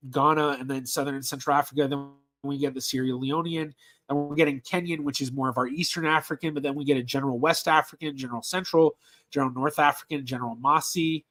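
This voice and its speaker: A man hosting a casual livestream Q&A as a genealogist, talking in a halting way with many ums and restarts as he reads viewer questions aloud. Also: medium pitch.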